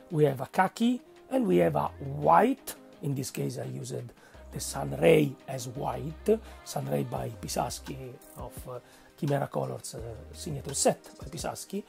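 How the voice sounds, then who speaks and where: Gravelly Italian-accented man teaching painting. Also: high-pitched, darkish tone. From Italy. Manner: halting, searching for words, frequent "okay?". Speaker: miniature painting instructor. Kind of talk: narrated painting tutorial.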